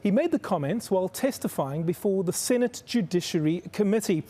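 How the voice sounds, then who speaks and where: A man presenting broadcast news as an anchor, in a formal, measured delivery. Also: high voice, lively intonation, warm tone.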